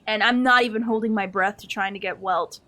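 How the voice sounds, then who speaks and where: A woman's voice: medium pitch, steady tone, a little fast, bright, forceful tone. A woman livestreaming a game, chatting casually with viewers in a playful, slangy, giggly manner.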